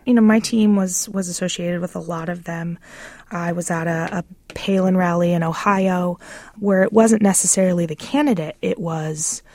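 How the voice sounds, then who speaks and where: A woman's voice: on the low side, fairly even intonation, normal speed, warm tone, no room sound. A woman giving conversational answers as an interview guest, speaking as a political consultant.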